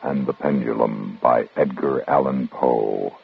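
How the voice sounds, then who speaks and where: A man's voice: rumbling low, measured pace, creaky, breathy edge. A man announcing a vintage radio suspense show in a formal old-fashioned broadcast style. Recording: dull old radio recording with music.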